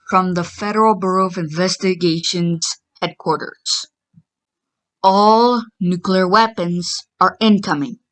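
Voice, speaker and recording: A woman delivering a scripted emergency warning broadcast in a formal, impersonal, measured manner. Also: mid-range voice, a bit bright, rough recording. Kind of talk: scripted emergency alert announcement.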